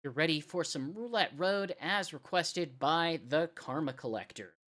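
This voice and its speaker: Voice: medium pitch, a little slow, a bit bright. A radio host chatting casually and enthusiastically between music requests on a live show, with informal, emphatic delivery.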